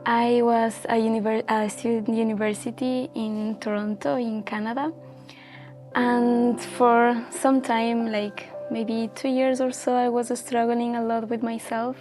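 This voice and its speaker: A woman's voice: fairly high pitch, little pitch movement, unhurried, a little mellow, faint music behind. A woman in her twenties from Ecuador, speaking as a guest sharing her personal story in an earnest, reflective way with many fillers. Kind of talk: personal testimony in a casual chat.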